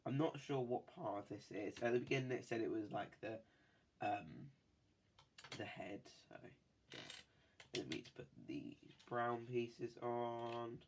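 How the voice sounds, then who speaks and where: A man's voice: mid-range voice, measured pace, a little hiss or hum. A man with a cold, chatting casually and rambling with many fillers while building a toy set at a desk. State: has a cold. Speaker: toy-building video host. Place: indoors at a desk.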